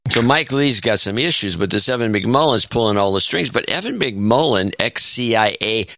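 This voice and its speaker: Bright, animated man on a podcast. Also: mid-range voice, moderate pace, no room sound, studio quality. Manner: blunt, opinionated, trails off and restarts. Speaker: podcast co-host. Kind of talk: casual chat.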